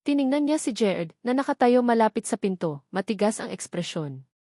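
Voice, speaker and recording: A woman's voice: on the high side, animated, normal speed, warm tone, no echo, studio quality. A woman with a Filipino accent reading a scripted dramatic story as its narrator.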